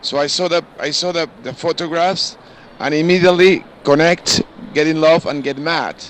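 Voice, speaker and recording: A man tells informal anecdotes as a collector. His voice sits a little high. Clear ambient noise from a busy hall surrounds him. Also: normal speed, a bit bright. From Peru. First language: Spanish. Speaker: art collector. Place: large indoor art fair hall.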